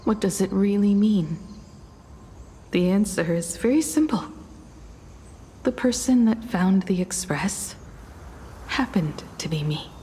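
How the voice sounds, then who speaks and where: An actress playing a solemn, defiant woman in a video game, speaking in a measured, grave, accusing way. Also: mid-range voice, warm tone, in a large hall, slight background noise, close to an everyday voice. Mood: solemn, resolute.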